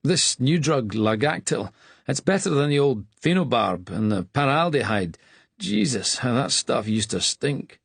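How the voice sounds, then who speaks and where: A man voicing a friendly young male hospital attendant with a broad Scottish accent, talking quickly with a dry, amused edge. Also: medium pitch, big pitch swings, vocal fry, slightly rough, no echo, voice pushed up, exaggerated voice. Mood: conversational. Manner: quick, words running together, amused.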